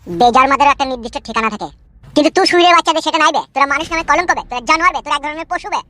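A voice performer playing an angry young man in a cartoon, who shouts threats and insults at his enemies. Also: sits high, very fast, no room sound, voice pushed down. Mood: defiant, threatening. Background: background music.

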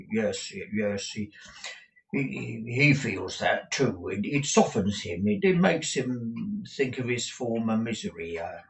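A man voicing an eccentric, kindly, gruff storybook man who mutters in broken phrases and repeats his words. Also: medium pitch, reverberant, lightly acted, low-quality recording.

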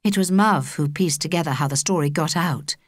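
A woman with a British accent narrating a children's audiobook in an expressive, measured storytelling manner. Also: deep voice, no echo, clean studio sound. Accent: British English.

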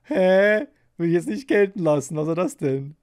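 A male streamer reacts live in loose slang. His delivery is incredulous and lively, swinging widely in pitch, and he speaks into a close mic. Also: moderate pace. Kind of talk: casual live reaction commentary. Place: small home streaming room. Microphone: close boom-arm studio microphone.

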